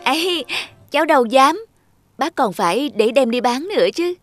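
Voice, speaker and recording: A woman voicing a lazy, dreamy young man in a cartoon, a breezy flatterer given to exclamations. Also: sits high, moderate pace, faint music behind. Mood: flattering. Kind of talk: scripted cartoon dubbing.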